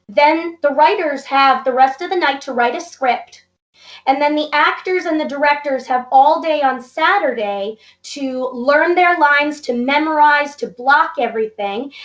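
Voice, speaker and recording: A woman guest in a casual interview, a little hoarse, talking in an upbeat, eager and gracious way. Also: high-pitched, roomy sound, rough recording. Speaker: interview guest, wrestling entertainer and actor.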